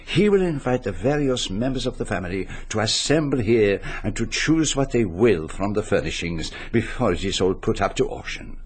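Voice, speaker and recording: A courtly, shrewd detective with a Belgian French accent. The voice is fairly low and husky, with lively swings of intonation. He speaks formally and deliberately, as in a radio mystery. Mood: measured, shrewd. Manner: formal, deliberate, quaint foreign phrasing. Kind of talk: radio mystery drama acting with narration.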